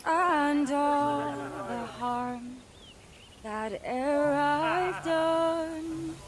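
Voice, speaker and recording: A woman's voice: sits high, drawn-out words, a crowd in the background. An actress performing a wistful farewell folk ballad, singing as a woman in a game.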